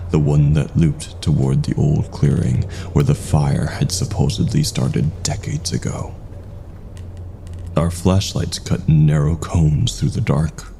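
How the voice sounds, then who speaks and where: Very deep, gravelly man narrating horror. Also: dark tone, slight background noise. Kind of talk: scripted first-person horror narration.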